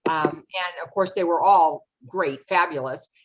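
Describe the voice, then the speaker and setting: A woman's voice: lowish voice, unhurried, low-quality recording. A woman hosting a notary question-and-answer session over a video call, friendly and encouraging. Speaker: host of a notary Q&A webinar. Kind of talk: casual moderating on a video call.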